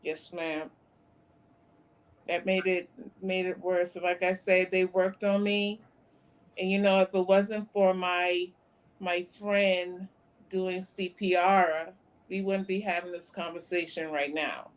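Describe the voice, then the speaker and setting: Flat-voiced woman in her fifties. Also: lowish voice, takes it slowly, smooth. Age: 50s. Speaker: guest. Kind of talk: interview conversation.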